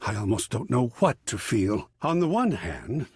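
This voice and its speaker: A man voicing an excitable, cultured art curator character in a video game, theatrical and erudite, with precise diction and a husky, breathy voice. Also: mid-range voice, normal speed, dry recording, clean studio sound. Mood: excited, wistful. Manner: effusive, erudite, precisely enunciated, dramatic. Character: excitable, cultured art object come to life, gallery curator.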